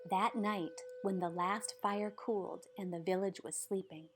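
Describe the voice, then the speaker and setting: A woman reading a children's picture book aloud in a measured, dramatic storytelling manner. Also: mid-range voice, fairly even intonation, faint music behind. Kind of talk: expressive scripted storybook narration.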